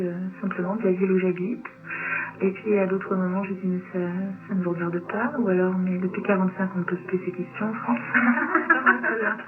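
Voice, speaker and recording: Tinny shortwave radio, reflective woman interviewee. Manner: reflective, halting, pausing between phrases. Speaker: interviewed writer. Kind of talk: thoughtful radio interview answers. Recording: tinny, noisy low-bitrate shortwave radio.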